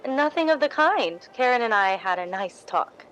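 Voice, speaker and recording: A woman playing an ambitious, scheming, falsely sweet young stage actress in a radio drama, deliberate and insinuating. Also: fairly high pitch, expressive, a bit bright, dull audio, a little hiss or hum. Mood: defensive, pleading. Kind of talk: recreated 1950s radio drama acting.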